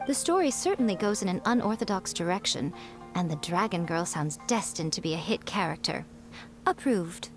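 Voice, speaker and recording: A woman playing a poised, teasing fox-spirit publisher in a video game, speaking in a measured, self-assured, playfully superior way. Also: fairly low pitch, animated. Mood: amused, approving. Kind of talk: scripted video game dialogue. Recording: background music under the dialogue.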